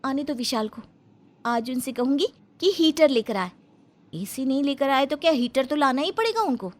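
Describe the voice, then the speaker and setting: A spoiled young wife , acted by a woman, in a family drama. Her voice is high, whiny and demanding, full of complaint. There is some background noise on the recording. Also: expressive, moderate pace. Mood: sulky grumbling. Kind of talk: dramatised Hindi family story acting.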